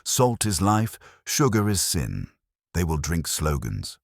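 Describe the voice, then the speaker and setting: A man's voice: deep voice, big pitch swings, takes it slowly, vocal fry, no room sound, clean studio sound, heavily acted. A man voicing a ponderous, haughty older detective who pronounces formal, pedantic judgments in an audiobook mystery. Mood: lofty, disapproving.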